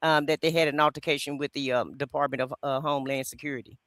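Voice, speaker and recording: A man's voice: a little high, steady tone, fast talker, crowd noise. A man co-hosting a podcast, commenting casually on a video clip, explaining loosely with many fillers and some amusement. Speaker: podcast co-host.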